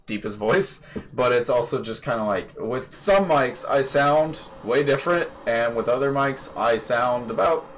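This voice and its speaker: A man's voice: medium pitch, measured pace. Young male host, loose rambling delivery full of fillers, voice-call mic with a light hum underneath. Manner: rambling, swears casually, many fillers. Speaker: podcast host. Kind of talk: casual chat. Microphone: computer microphone on a voice call.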